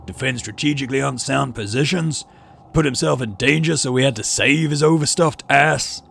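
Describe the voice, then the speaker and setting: A man's voice: mid-range voice, normal speed, vocal fry, slightly rough, pitched above the performer's own voice, heavily acted. A man voicing a livid male security chief who rants with scorn in a sci-fi game read-through. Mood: livid, scornful. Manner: scornful, forceful ranting.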